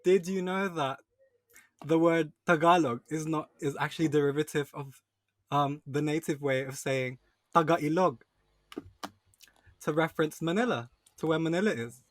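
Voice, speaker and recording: A soft-spoken man speaking as a podcast guest in casual chat. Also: a little high, normal speed, long pauses, no room sound.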